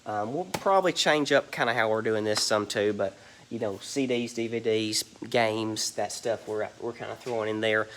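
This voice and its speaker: A man's voice: lowish voice, normal speed, a bit bright, slight background noise. A man giving a casual walk-through of a large warehouse, rambling with lots of ums and a stuffy, nasal voice from allergies. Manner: rambling, many ums and "you guys". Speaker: reseller giving a warehouse tour.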